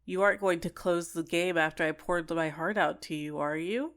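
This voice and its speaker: Woman voicing sentient yandere dating-sim girl, lowish pitch, possessive, coaxing, menacingly sweet, ASMR roleplay. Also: normal speed, fairly bright tone, close to an everyday voice. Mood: hurt, possessive. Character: possessive, obsessive female dating-sim character, sentient program. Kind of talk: scripted ASMR roleplay monologue.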